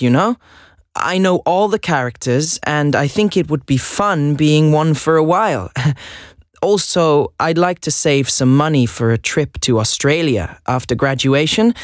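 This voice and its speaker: A man's voice: a little high, no echo, close to an everyday voice. Actor as earnest teen boy, Swedish native, hesitant and chatty, dry close sound. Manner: hesitant, chatty, laughs nervously. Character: earnest 16-year-old boy job applicant. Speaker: job applicant. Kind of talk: scripted listening dialogue.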